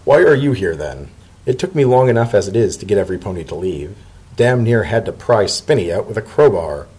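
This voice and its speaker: Man as a wry, husky pony bureaucrat. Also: fairly low pitch, sing-song, mellow. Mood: weary, wry. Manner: dry, brisk, fussily caring. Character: fussy, wry male pony civil servant, protective godfather. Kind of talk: dramatic reading of a story.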